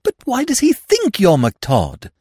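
A man voicing a dim, excitable young upper-class English gentleman in an audiobook, anxious and eager, full of flustered exclamations and old-fashioned posh slang. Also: high-pitched, takes it slowly, breathy edge, dry recording, studio quality, pitched above the performer's own voice, exaggerated voice. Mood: baffled, incredulous. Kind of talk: audiobook character voice.

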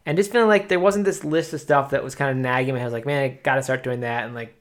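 A man's voice: mid-range voice, quick pace. A man in his late thirties co-hosting a podcast, talking casually and rambling with lots of fillers and restarts. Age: 30s. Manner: rambling, self-deprecating, many fillers. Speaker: podcast co-host. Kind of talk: casual chat.

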